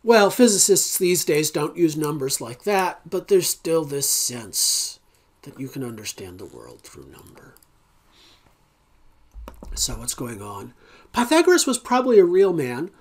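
Slow, halting middle-aged male lecturer. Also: a little high, very animated. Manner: unhurried, thinking aloud with many ums and uhs. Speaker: philosophy instructor. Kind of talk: recorded online lecture. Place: home study with bookshelves. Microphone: webcam at desk.

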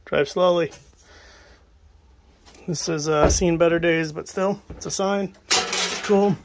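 A man's voice: on the high side, takes it slowly, slight background noise. Man mumbling through a cluttered shed. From New England. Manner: relaxed, musing aloud, talks to viewers. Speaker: estate sale buyer filming a shed cleanout. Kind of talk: casual running commentary while picking items. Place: cramped wooden shed, indoors. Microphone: handheld phone camera.

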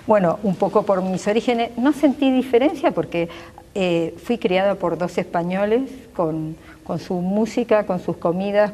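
Argentine woman in her sixties, mellow, roomy. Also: mid-range voice. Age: 60s. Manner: earnest, fluent, gesturing with her hands. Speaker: physician and local politician, interview guest. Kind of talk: broadcast interview answers. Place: glass-walled pavilion with garden behind.

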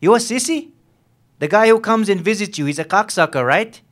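A young man on stage acts out a volatile Filipino police lieutenant with a somewhat high male voice. He interrogates in a needling, bullying way, dropping in Tagalog slang, as part of a dramatic novel reading. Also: animated, a little fast, fairly bright tone. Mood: menacing. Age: young adult. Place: on stage at a live reading event. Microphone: microphone on a stand.

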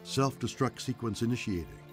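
An actor playing a composed male spaceship computer in a game, with a measured, dramatic delivery. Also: mid-range voice, wildly swooping pitch. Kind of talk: scripted game voice acting. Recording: music behind the voice.

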